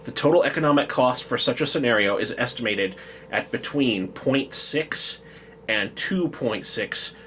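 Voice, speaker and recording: A man's voice: mid-range voice, a little slow, bright, forceful tone, slight background noise. A man co-hosting a casual discussion podcast, talking in a hesitant way as he thinks aloud. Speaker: podcast co-host. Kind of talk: casual discussion, at times reading out a quote.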